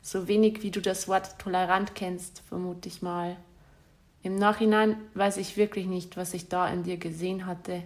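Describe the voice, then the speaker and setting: A woman's voice: on the low side, little pitch movement, moderate pace. A woman reading out a letter she wrote to her former partner, in a bitter, accusatory and insulting way. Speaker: spurned ex-partner.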